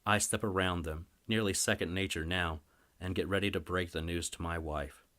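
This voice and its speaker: Casting: male audiobook narrator, low register, first-person fantasy fiction. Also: fairly even intonation, moderate pace, sharp tone. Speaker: story reader.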